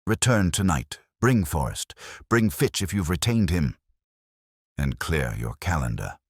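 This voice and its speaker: A man voicing a commanding, cerebral private detective who makes formal, deliberate pronouncements in an audio story. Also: low voice, very animated, no echo, studio quality, exaggerated voice.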